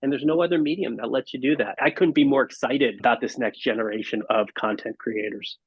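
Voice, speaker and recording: A man's voice: medium pitch, a little fast, husky, no echo, dull audio. A man speaking as a podcast guest, reflective and earnest, encouraging in his way of talking. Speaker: podcast guest, marketing executive. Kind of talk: reflective interview conversation.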